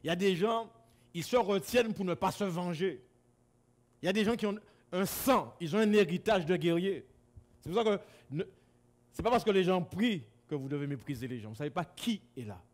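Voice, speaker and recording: A man from Guadeloupe preaching a sermon to a congregation in a church hall, swinging between loud exclamations and low asides. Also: expressive, a little fast. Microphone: camera-recorded.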